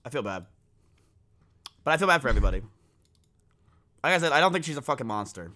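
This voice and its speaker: A young man with a New Jersey accent and a deep voice, giving blunt, profane, joking live reaction commentary. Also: big pitch swings, fast, bright, forceful tone. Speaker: streamer reacting to a video.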